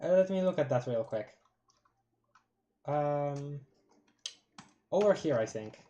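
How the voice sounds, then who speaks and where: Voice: mid-range voice, slow, lo-fi sound, a little hiss or hum. Giggly Polish-accented streamer reading aloud. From Poland. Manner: giggly and excitable, reading aloud with stumbles over long words. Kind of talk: casual live-stream reading a webcomic aloud.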